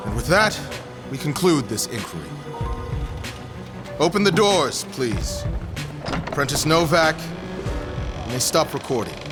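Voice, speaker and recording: A stern academic official in an audio drama. His voice sits fairly high and swings widely in pitch as he delivers measured, pompous pronouncements. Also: big pitch swings. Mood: grave, formal. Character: stern, formal male vice chancellor of a scholars' guild. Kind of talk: scripted audio drama acting. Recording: music behind the voice.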